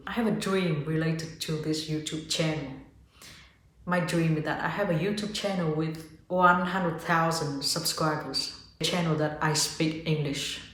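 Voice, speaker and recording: Vietnamese-accented woman in an echoey room. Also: low voice. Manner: calm, guiding, asks the listener questions. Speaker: yoga teacher and channel host. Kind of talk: reflective self-help talk to camera.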